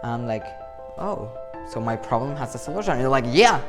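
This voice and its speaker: A young Venezuelan man speaks straight to camera, animated and playful, acting out imagined back-and-forth lines. His voice sits at a medium pitch, and music plays clearly underneath. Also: a little fast. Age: 20s. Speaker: motivational speaker. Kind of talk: talk to camera.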